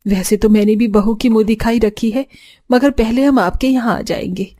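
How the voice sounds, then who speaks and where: A woman's voice: on the high side, steady tone, moderate pace, warm tone, lightly acted. A woman playing a poor, tender, self-effacing middle-aged mother-in-law in a cartoon story. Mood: hurt, polite.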